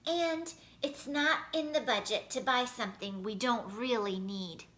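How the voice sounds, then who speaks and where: Storybook role: patient, firm but kind father, done by a woman, high, bright, matter-of-fact. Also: measured pace.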